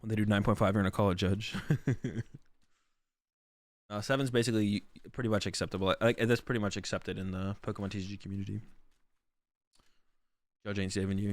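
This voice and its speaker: Hoarse man rambling on stream. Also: fairly low pitch, no room sound. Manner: rambling, filler-heavy, thinks aloud. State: sick, losing his voice. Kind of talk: casual stream commentary.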